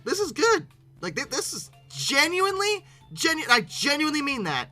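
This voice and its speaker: A bright, forceful voice with very lively, swinging intonation, hosting a casual reaction video. The speaker is bemused and humorous, stumbling and repeating words while exclaiming, with music in the background. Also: high-pitched, normal speed. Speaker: YouTube host.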